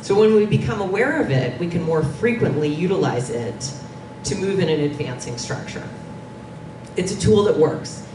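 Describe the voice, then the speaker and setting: Female panel presenter, middle-aged, conversational and halting, roomy sound with clear background noise. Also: on the low side, expressive. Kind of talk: informal conference presentation. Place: dim conference meeting room. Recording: distant, echoey, noisy room recording.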